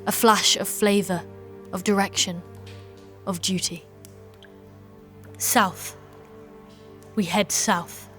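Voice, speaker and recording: A woman playing a stern, devout knight in a dark fantasy audio drama, speaking and narrating in a formal, measured, archaic way. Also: mid-range voice, steady tone, faint music behind. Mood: grave, determined. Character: battle-weary female knight, stern, devout, formal, solemn narrator of her quest.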